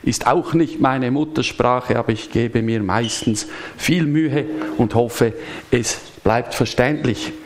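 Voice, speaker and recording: Man preaching a lively chatty sermon. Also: medium pitch, takes it slowly, slight background noise. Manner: lively, chatty, asks the congregation questions. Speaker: pastor. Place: church service.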